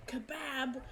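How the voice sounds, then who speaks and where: A young woman streamer chatting casually with viewers, giggling and teasing herself, her voice a little low for a woman and her throat sore. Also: fairly bright tone. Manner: chatty, giggly, self-mocking. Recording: music playing behind the voice.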